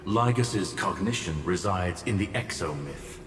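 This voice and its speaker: Deep, formal scholar-strategist. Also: male voice, animated, a little hiss or hum. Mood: calm, analytical. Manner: formal, measured, analytical. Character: composed, formal male scholar-strategist, analytical. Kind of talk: video game story acting. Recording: distant, reverberant hall, music behind.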